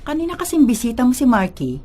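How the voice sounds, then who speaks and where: A woman's voice: on the high side, very animated, fast talker, dark tone. A woman playing a caring, protective middle-aged mother with a Filipino accent in a radio drama. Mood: firm, probing.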